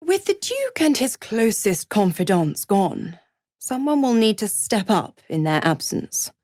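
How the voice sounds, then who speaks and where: Smug seductive devil woman for a game, slow n silky, very swoopy, mocking, kinda breathy. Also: a little high, dry recording, studio quality, full character voice. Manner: theatrical, mocking, silky condescension with breathy drops into whispers. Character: seductive, scheming female devil who trades in pacts. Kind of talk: video game character acting.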